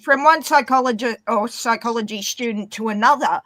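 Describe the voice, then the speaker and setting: A woman with an Australian accent, co-hosting a casual chat with quick comebacks. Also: a little high, a bit bright.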